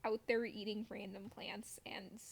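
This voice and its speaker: Playful woman streamer with creaky voice. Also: mid-range voice, level tone, unhurried. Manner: playful, wry self-deprecation. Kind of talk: casual gameplay chat.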